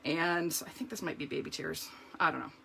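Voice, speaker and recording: Chatty, slightly hoarse woman demonstrating crafts. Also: sits low, brisk, fairly bright tone, a little hiss or hum. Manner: chatty, explains each step, talks to viewers. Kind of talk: live card-making demonstration.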